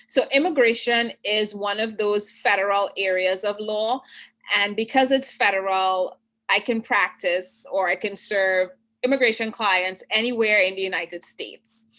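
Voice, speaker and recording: A woman from Trinidad, an immigration attorney, giving clear explanatory answers in an interview and often checking in with "right?". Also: medium pitch, a bit bright.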